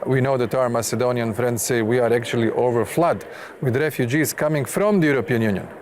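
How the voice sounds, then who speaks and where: A man speaking as a politician in a formal panel debate, measured, diplomatic and insistent. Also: mid-range voice, darkish tone. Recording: clear background noise and ambience.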